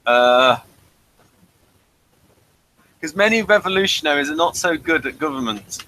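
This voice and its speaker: A man hosts a casual review show in a bright, slightly high voice. He rambles and drops in jokey asides. There is noticeable background noise, like traffic ambience, behind him. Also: animated, unhurried, poor audio. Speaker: host of an audio drama review show.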